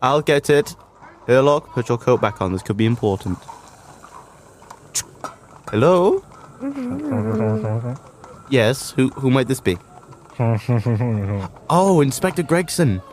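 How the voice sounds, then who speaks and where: An actor playing a sensible, long-suffering doctor and detective's sidekick with a dry manner, in a comic radio drama. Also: male voice, mid-range voice, animated, slow pace, pauses often, slight background noise. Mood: businesslike. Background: music.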